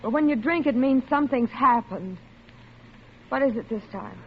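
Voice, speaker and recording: An actress playing a devoted, anxious wife in a vintage radio drama, tender and pleading, with a husky, breathy voice. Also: female voice, sits high, animated, normal speed. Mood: meek, worried. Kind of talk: 1940s-50s radio drama acting. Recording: muffled old radio recording.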